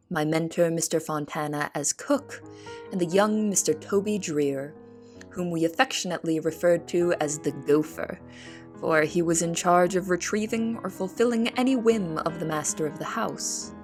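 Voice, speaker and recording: A woman playing an earnest young female cook in an audio drama, speaking in a formal, measured, articulate way. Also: deep voice. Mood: solemn, measured. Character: Anne Marie, earnest young female cook writing testimony. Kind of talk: audio drama, formal letter narration and dialogue. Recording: music bed under the voice. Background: instrumental music.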